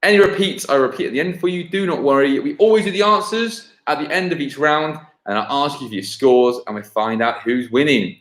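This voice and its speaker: A man hosting a live-streamed football quiz, repeating questions and chatting casually with viewers. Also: medium pitch. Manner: repeats each question slowly and clearly, chats to viewers. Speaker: quiz host. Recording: roomy, echoing home livestream sound.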